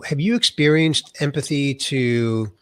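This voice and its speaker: A man from the USA hosting a podcast interview, a little congested and nasal, giving warm, emphatic introductions. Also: mid-range voice, unhurried, clear, resonant tone, no echo. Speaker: podcast host. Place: Florida.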